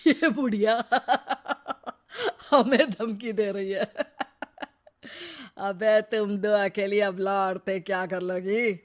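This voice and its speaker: A performer voicing a mocking, threatening male burglar in an animated story, sneering and jeering. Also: mid-range voice, extremely slow, pauses often. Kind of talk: Hindi animated story dialogue.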